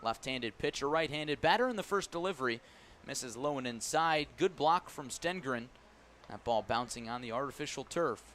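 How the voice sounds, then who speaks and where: A man's voice: medium pitch, expressive, moderate pace, fairly bright tone, a little hiss or hum. An American man giving live radio play-by-play of a baseball game as the announcer. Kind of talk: live radio sports commentary.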